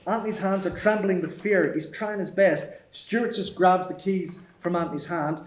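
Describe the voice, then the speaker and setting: Casting: male narrator for screenplay action lines, higher-pitched, recorded with clear room echo. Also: moderate pace. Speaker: narrator reading stage directions. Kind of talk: scripted screenplay narration at a table read. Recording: echoey room.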